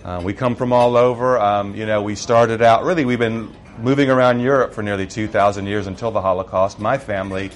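A middle-aged man with an American accent, a missionary giving a personal testimony in a relaxed storytelling way, with frequent fillers. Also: lowish voice, fairly quick, few pauses. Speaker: missionary speaker.

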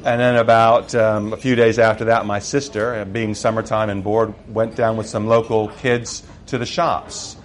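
A man's voice: lowish voice, little pitch movement, normal speed, few pauses, slight background noise. Middle-aged American man telling his story. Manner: conversational storytelling with fillers. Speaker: missionary speaker. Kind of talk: personal testimony talk.

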